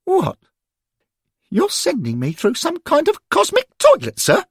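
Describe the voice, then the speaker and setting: Whiny squeaky schoolboy. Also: male voice, huge pitch swings, a little slow, dry recording, clean studio sound, exaggerated voice. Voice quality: high squeaky falsetto, ends every line with sir. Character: whiny schoolboy ghost, nervous and sulky.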